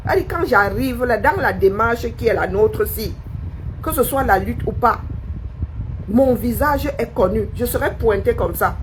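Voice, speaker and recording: A woman's voice: fairly high pitch, a little slow, a little hiss or hum, poor audio. A woman live-streaming a scornful monologue, firing challenging rhetorical questions at a critic who commented.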